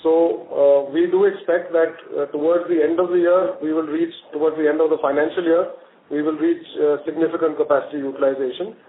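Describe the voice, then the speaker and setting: A man's voice: a little high, steady tone, brisk. Roomy telephone-sounding male executive. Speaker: company executive answering analysts. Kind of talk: earnings call question-and-answer. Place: conference phone call. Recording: roomy, narrowband phone line, faint noise.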